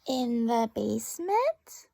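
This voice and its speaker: A woman's voice: sing-song, takes it slowly, gravelly, mellow, exaggerated voice. A woman voicing a timid, curious and polite little boy in a storybook, with a tentative, questioning manner. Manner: tentative, questioning, high-pitched.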